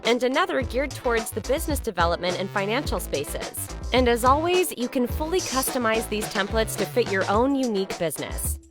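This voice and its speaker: A woman reading a scripted promotional voice-over about product updates. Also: on the high side. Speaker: promotional video narrator. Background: music.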